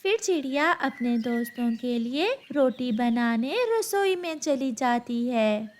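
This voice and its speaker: A woman's voice: sits high, animated, slow, slight background noise. A woman narrating a scripted children's story.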